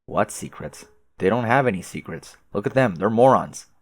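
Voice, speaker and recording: A man as an aloof, low-voiced sarcastic teenage boy. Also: moderate pace. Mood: irritated. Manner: deadpan, irritated, grumbling, swearing. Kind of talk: fan comic dub dialogue.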